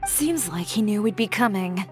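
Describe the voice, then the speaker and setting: A woman's voice: medium pitch, lively intonation, moderate pace, lightly acted. A woman playing a composed, confident young fashion designer with a cool, matter-of-fact manner in game dialogue. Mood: dry, disapproving. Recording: music behind the voice.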